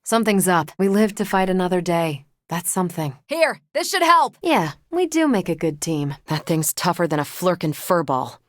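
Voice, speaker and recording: A self-assured superhero woman, with a lowish voice and a very dry, close sound. She is brash and wry but loyal, talking casually like a seasoned soldier. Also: very animated, normal speed, hardly any pauses, no room sound. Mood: battle-charged, bright. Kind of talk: video game voice acting.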